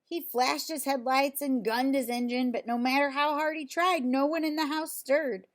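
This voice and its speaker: A woman reading a children's picture book aloud in an expressive way, as if to young listeners. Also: sits high, normal speed. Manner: expressive, explains words simply to children. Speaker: storybook reader.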